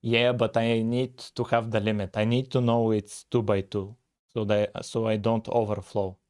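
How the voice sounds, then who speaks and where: A man, talking casually on a live coding stream, thinking aloud with frequent pauses and "uh"s. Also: fairly low pitch, little pitch movement, a little mellow, smooth. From Bulgaria. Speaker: live-streaming game developer.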